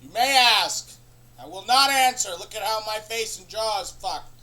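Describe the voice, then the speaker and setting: A man hosting a comedy show, rambling through an absurd, profane monologue in a deadpan way. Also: high-pitched, moderate pace. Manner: rambling, profane, deadpan nonsense with sudden bursts of laughter.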